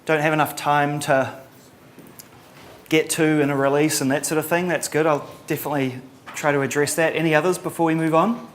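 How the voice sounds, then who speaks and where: A middle-aged man from New Zealand with a Kiwi accent, giving a relaxed conference talk with lots of ums and a dry sense of humor. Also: on the high side, brisk, slight background noise. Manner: casual, many ums and uhs, dry humor. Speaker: conference presenter.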